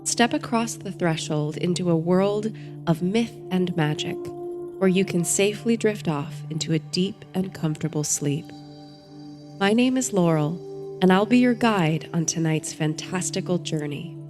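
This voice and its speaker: A woman host narrating a bedtime story and guided meditation in a soothing, gentle, measured way. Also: on the low side, over music.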